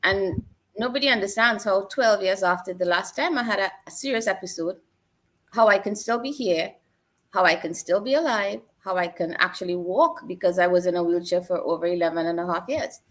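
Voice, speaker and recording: A woman's voice: medium pitch, a little fast, fairly bright tone. A middle-aged woman from Trinidad and Tobago, a guest telling her life story in an earnest, reflective way. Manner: earnest, personal storytelling with repeated phrases. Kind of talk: reflective interview talk.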